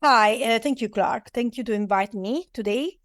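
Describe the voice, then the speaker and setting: A woman's voice: on the high side, moderate pace, no echo, clean studio sound. A middle-aged woman from Italy with an Italian accent, answering interview questions as an oceanographer, with hesitant non-native phrasing and frequent fillers. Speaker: guest, oceanographer.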